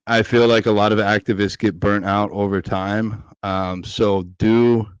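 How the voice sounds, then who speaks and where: A man hosting a podcast, asking questions in a soft-spoken, hesitant and earnest way. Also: fairly low pitch, level tone, a little slow, clean tone, no echo, dull audio. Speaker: podcast host. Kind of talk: casual interview questions.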